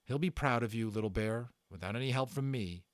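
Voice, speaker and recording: A man voicing an earnest, gentle young boy in a storybook reading, with plain, sincere, conversational phrasing. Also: mid-range voice, brisk, dry recording, studio quality, close to an everyday voice. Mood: warm, sincere. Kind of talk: dramatic storybook character reading.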